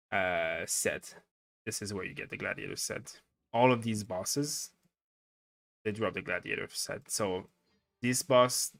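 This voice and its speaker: A young man with a Quebec French accent and a lowish voice, talking loosely and thinking out loud with plenty of "like" and "uh". Faint game music plays quietly behind him as he streams. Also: gravelly, no room sound. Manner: rambling, thinking aloud with many fillers. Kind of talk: casual livestream chat.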